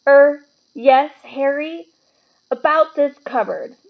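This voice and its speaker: A woman's voice: sits high, slow, creaky, dull audio, pitched above the performer's own voice, full character voice. A woman voicing a blustering, bad-tempered middle-aged uncle who sneers and snaps orders in a storybook reading. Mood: forced niceness. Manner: sneering, barking, snapping orders.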